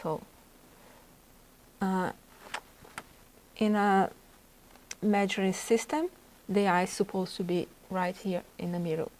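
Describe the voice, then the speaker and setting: A woman from Brazil with a Brazilian Portuguese accent walks through a portrait drawing demonstration. She speaks slowly and unhurriedly, pausing often as she thinks aloud over her sketch, her pitch sitting in a middle range. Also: steady tone, darkish tone, a little hiss or hum, poor audio. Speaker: art instructor.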